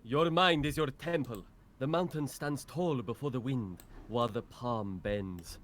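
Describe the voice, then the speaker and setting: A man voicing a stern, wise warrior mentor for a video game, speaking in solemn, measured proclamations. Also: medium pitch, a bit bright, slight background noise, natural voice. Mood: solemn, commanding. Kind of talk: video game character lines, dramatic reading.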